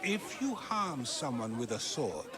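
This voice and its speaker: A man's voice: mid-range voice, very animated, measured pace, crowd noise. An actor playing a persuasive Athenian philosopher in a video game, probing and earnest as he argues rhetorically. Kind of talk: theatrical video game acting.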